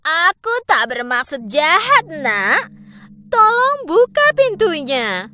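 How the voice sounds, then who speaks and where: Woman doing a wheedling old hag, coaxing, lively swooping voice, slow. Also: high voice, full character voice. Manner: coaxing, sweet-talking. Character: old woman, the evil queen in disguise, wheedling. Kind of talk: cartoon dub acting.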